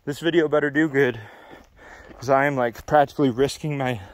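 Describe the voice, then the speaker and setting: A man's voice: mid-range voice, steady tone, brisk. A young man vlogging alone from a cold abandoned cabin at night, nervous and self-deprecating, filming on a handheld camera. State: cold and sleepy. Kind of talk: casual vlog to camera.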